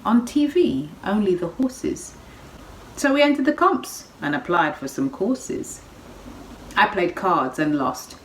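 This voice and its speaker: A woman recites spoken-word poetry with a lively, swinging melody and an unhurried rhythm. She is recorded at a distance in an echoey room with faint noise. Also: on the low side. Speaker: poetry performer.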